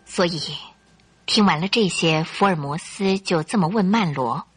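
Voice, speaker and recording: A woman narrating a scripted radio drama in an expressive, suspenseful storytelling style. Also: on the low side, moderate pace, breathy edge, soft background music.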